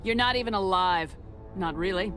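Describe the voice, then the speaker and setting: A woman's voice: medium pitch, moderate pace, fairly bright tone, faint music behind, natural voice. Firm, defiant military commander, played by a woman. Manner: firm, terse, challenging. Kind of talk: video game hero voice acting.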